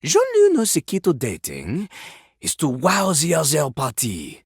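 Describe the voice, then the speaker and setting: A woman's voice: sits low, wildly swooping pitch, unhurried, noisy background, exaggerated voice. A woman voicing a boastful, vulgar male French rapper toilet in a video game, with a French accent and a pompous, theatrical delivery that slips into rap. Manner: pompous, refers to himself in the third person.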